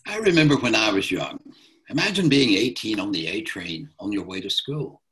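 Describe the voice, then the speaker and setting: A man playing a gruff old dad. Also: lowish voice, sing-song, moderate pace, fairly bright tone, poor audio. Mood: dry. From New York. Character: blunt elderly father, gruff and teasing.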